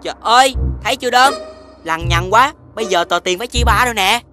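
A woman's voice: a little high, fast, music in the background, natural voice. A woman voicing a chubby, greedy young pig child in a cartoon, sly and whiny in manner. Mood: annoyed. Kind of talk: cartoon dubbing.

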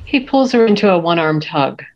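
A woman's voice: fairly low pitch, big pitch swings, normal speed, soft background music. Middle-aged woman, dull lo-fi call sound. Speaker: reader of stage directions. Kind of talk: scripted narration. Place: home room, on video call.

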